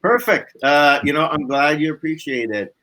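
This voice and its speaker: A man's voice: fairly high pitch, lively intonation, normal speed, sharp tone, low-quality recording. A man speaking as a musician guest in a casual live interview, with informal, laid-back phrasing.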